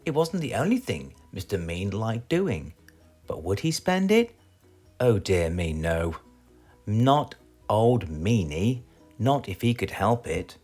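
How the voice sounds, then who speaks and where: A man's voice: on the low side, very animated, slow pace, a little mellow, breathy edge, faint music behind. A man with a British accent reading a children's story aloud as a storyteller.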